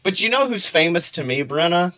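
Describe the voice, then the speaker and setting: A man with a high, bright voice co-hosts a casual comedy podcast. He tells stories in an animated, profane way, slipping into acted-out quotes as he goes. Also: brisk. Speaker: podcast co-host.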